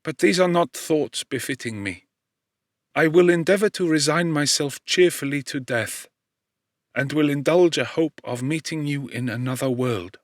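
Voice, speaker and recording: A man's voice: on the high side, normal speed, no echo, studio quality, close to an everyday voice. A man voicing a loving, dying mother in an audiobook, speaking with tender, earnest resignation. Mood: tender, resigned. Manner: tender, earnest farewell to her children.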